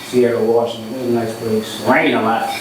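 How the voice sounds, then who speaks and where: A man with a Baltimore accent, talking quickly and casually in slang as a comedian being interviewed. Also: mid-range voice, flat delivery, crowd noise. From Baltimore, United States. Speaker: podcast guest, comedian. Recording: echoey room, background noise.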